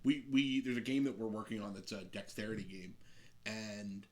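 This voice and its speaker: A man's voice: fairly low pitch, moderate pace. A man speaking as a game designer guest, explaining his craft in a casual conversational way.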